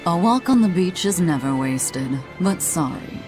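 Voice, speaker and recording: A woman's voice: on the low side, animated, unhurried, music in the background. An actress playing a sly, wry, mysterious woman in a video game, with a haughty, teasing drawl. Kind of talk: English game voice acting.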